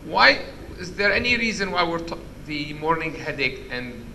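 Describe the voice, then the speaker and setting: A man with a loud voice giving an interactive medical lecture, quizzing the audience and restarting his sentences often. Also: on the high side, big pitch swings, normal speed, vocal fry, a little hiss or hum. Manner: questions the audience, many restarts. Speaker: physician lecturer. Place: conference hall.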